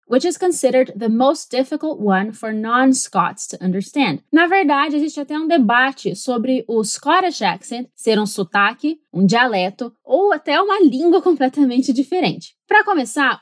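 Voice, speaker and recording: A Brazilian woman hosting a podcast lesson, explaining clearly and switching between languages. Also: sits high, no room sound. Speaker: podcast host and English teacher.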